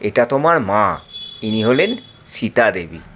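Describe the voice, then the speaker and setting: A man voicing a serene, gracious male deity who speaks gently and unhurriedly. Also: medium pitch, very animated, unhurried, slight background noise, voice pushed down.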